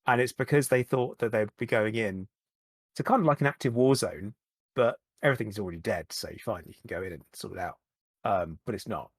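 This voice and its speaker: A man, a podcast guest, talking candidly in a halting way, often repeating words and starting over. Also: lowish voice, slightly rough, dry recording, clean studio sound. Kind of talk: candid conversational chat.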